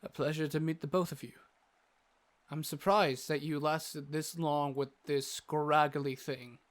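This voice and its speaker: A man's voice: a little high, fairly even intonation, measured pace, lightly acted. A man playing an earnest, learned doctor and adventurer with a teasing streak in a fantasy audio drama, speaking articulately like a storyteller. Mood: wry, friendly.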